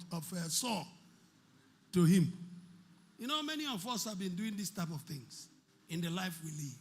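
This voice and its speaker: A man preaching to his congregation in a strikingly high voice. His tone is very bright and sharp. He repeats his points and keeps asking the listeners questions. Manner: conversational preaching with repeated phrases and rhetorical questions. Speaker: pastor. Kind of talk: sermon.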